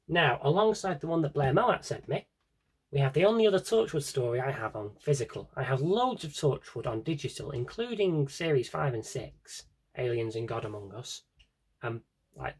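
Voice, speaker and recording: A British man talks casually to viewers in an unscripted vlog style. His voice is mid-pitched and a bit raspy, with very animated intonation. He rambles along, correcting himself and dropping in dry, offhand asides. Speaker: video blogger showing an audio drama CD collection. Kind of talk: casual unscripted collection tour.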